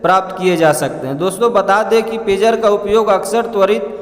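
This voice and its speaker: A man reads a scripted explainer in a halting way, addressing listeners as friends. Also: sits high. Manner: halting read-aloud delivery, often addressing listeners as friends. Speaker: presenter narrating an explainer. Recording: echoey room with long reverb.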